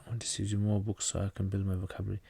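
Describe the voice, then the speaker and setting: A low-pitched man from North Pakistan whose first language is Pashto, reading out viewer questions and answering them in a relaxed livestream. His delivery is plain, with frequent restarts. The sound is close and dry, with no room echo. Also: very fast, gravelly, slightly rough. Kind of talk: casual livestream Q&A.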